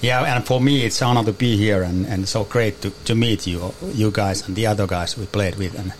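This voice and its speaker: A man's voice: fairly low pitch, fairly quick, slight background noise. A man from Finland co-hosting a podcast, speaking carefully and apologetically as he switches languages. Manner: apologetic, careful, switching between Finnish and English. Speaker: podcast co-host. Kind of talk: casual chat.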